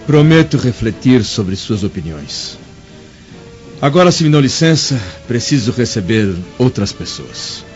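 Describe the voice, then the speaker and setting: An actor playing a proud, curt Roman senator in a Brazilian radio drama, stern and formal, with a Brazilian Portuguese accent. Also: male voice, mid-range voice, sing-song, unhurried, darkish tone. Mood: measured. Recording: dull lo-fi radio drama with music.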